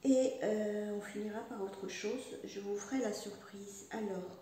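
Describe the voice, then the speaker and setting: A woman's voice: medium pitch, steady tone, a little slow, mellow, a little hiss or hum. A woman chatting casually about her knitting, hesitant and rambling, with many fillers and restarts. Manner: rambling, chatty, self-deprecating. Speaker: knitting and crochet podcaster. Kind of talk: casual chat showing knitwear. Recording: echoey room, voice from a distance.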